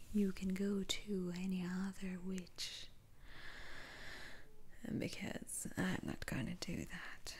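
A woman playing a stern, principled witch doctor in an ASMR roleplay, speaking in a breathy, halting whisper. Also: medium pitch, a little mellow, full character voice. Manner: slow, halting, deliberate.

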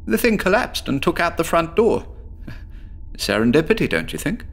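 A stammering, haunted patient. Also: male voice, a little high, sing-song, normal speed, gravelly, fairly bright tone, exaggerated voice. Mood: frantic. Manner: stammering, frantic, confessional. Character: haunted male patient recounting horror, frantic. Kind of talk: audio drama monologue.

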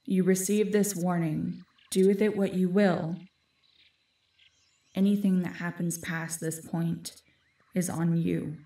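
A woman's voice: fairly low pitch, flat delivery, slow, dark tone. A woman playing an ancient, aloof forest guardian spirit who speaks formally and commandingly in a fantasy audio drama. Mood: measured warning. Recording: echoey, distant-sounding voice.

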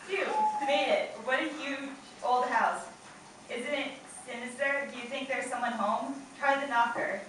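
A woman's voice: sits high, a little slow. A woman playing a timid, proper traveller, reading in an amateur radio play. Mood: nervous. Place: open indoor studio tour space, group around stand mics. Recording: echoey room, distant microphone, clear background noise.

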